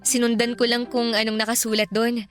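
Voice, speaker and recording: An actress playing an eager, playful young woman in a radio drama, with soft music behind. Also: fairly high pitch, monotone, fairly quick, close to an everyday voice. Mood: playful excited.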